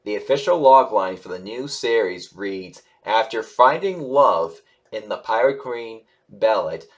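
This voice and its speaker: Halting, rambling man with a lowish voice. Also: animated. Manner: halting, rambling, self-correcting. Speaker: YouTube host reviewing animation news. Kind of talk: casual news commentary, reading articles aloud and reacting.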